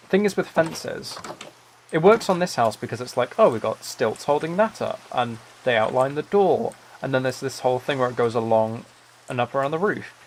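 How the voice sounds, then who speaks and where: A soft-spoken man livestreaming, chatting in a relaxed way and musing aloud as he plays a game. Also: medium pitch, a little hiss or hum. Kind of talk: relaxed chat while playing a building game.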